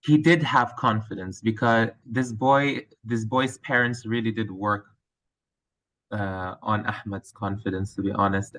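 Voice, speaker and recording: A man's voice: medium pitch, bright, forceful tone, rough recording. A male teacher with a Lebanese accent, answering interview questions thoughtfully with frequent fillers and restarts. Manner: hesitant, many fillers and restarts.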